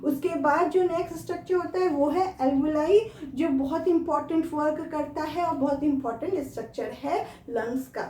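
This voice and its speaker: A woman's voice: high-pitched, moderate pace, warm tone, slight background noise. A woman with an Indian accent giving a school lecture as a teacher, asking questions and repeating key terms. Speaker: biology teacher. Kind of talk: classroom lecture for school students. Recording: echoey room.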